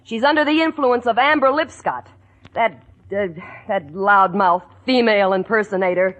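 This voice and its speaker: A woman's voice: medium pitch, normal speed, a bit bright, natural voice. A woman acting a sensible, exasperated female roommate with emphatic, articulate delivery in a 1940s radio sitcom. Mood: indignant. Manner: articulate, emphatic, indignant wit.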